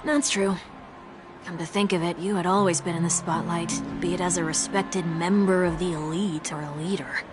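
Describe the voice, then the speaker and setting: An actress playing a quick-witted young woman trailblazer in a video game, talking in a wry, questioning way over loud game music. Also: on the low side, fairly even intonation, natural voice. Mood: curious. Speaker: player character. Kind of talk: game voice acting.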